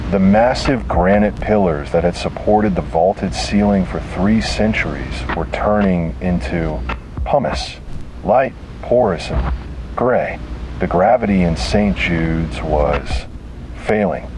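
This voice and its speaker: Low, slow-talking man narrating horror. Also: animated, background noise. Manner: grave, halting delivery with heavy pauses.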